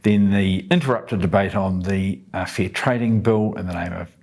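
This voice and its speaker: An older man talks in a relaxed, chatty way. His voice sits a little low, and he drops light jokes as he runs through an agenda. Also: moderate pace. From New Zealand. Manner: relaxed, chatty, jokey asides. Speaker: parliamentary speaker hosting a daily update. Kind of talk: casual explainer of the day's business.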